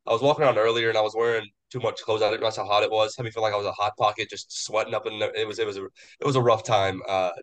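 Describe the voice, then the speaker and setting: A man's voice: on the low side, level tone, rapid-fire, fairly bright tone. A man co-hosting a sports podcast, a bit nasal after a cold, rambling casually. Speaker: podcast co-host.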